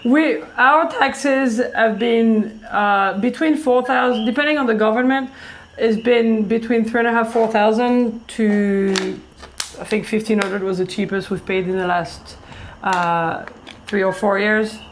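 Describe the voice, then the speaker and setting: A woman's voice: on the high side, fairly even intonation, a little slow, noisy background. A woman from France, co-hosting a vlog and explaining things plainly in casual chat. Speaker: vlog co-host.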